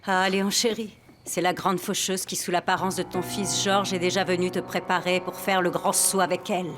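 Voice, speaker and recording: An actress playing a doting yet fatalistic wife in a dubbed melodrama, cooing and ominous. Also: female voice, on the low side, flat delivery, normal speed, over music, close to an everyday voice. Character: doting, fatalistic wife fussing over her husband.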